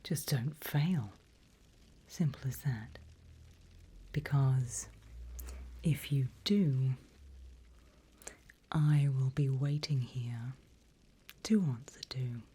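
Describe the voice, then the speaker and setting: A woman playing a seasoned demon mentor in a whispered ASMR roleplay, breathy and deliberate, playfully sinister and teasing. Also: rumbling low, mellow, heavily acted. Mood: coaxing, veiled threat.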